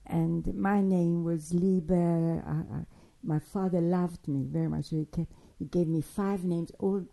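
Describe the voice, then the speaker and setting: Older lady, low gravelly voice, rambling memories with lots of stops and restarts. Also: fairly even intonation, warm tone. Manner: halting, digressive storytelling with many restarts. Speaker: interviewee recounting childhood. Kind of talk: spoken memoir, oral history.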